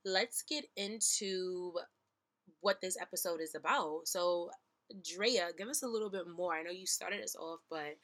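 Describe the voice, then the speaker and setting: A woman in her twenties chats as a podcast co-host. Her voice sits a little low for a woman yet sounds bright and forceful, and she talks playfully with plenty of slang. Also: unhurried. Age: 20s. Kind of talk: casual podcast chat.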